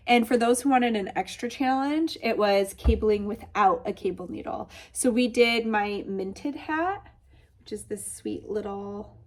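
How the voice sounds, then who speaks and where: A woman with a Michigan accent hosting a knitting podcast, congested and a little nasal, chatting casually with lots of asides. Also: fairly high pitch. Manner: chatty, bubbly, with many asides. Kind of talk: casual chat.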